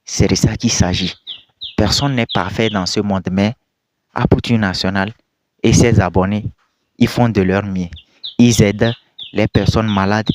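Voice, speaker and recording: A man's voice: lowish voice, a little hiss or hum. A young man, not a native French speaker, casually commenting to his viewers in an emphatic, repetitive way with scolding rhetorical questions. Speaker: video commentator.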